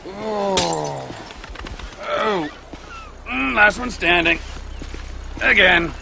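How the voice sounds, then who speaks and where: Deranged Trevor, high-strung and gravelly. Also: male voice, lively intonation, extremely slow, bright, forceful tone, a little hiss or hum, exaggerated voice. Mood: manic infatuation. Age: middle-aged. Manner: manic, gushing. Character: Trevor, deranged middle-aged maniac, lustful and volatile.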